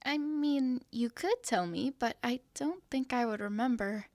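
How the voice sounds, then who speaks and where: A woman's voice: fairly high pitch, measured pace, a little mellow, no echo. A woman voicing a timid, apologetic young girl who stammers nervously. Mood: friendly. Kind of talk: fantasy audio roleplay.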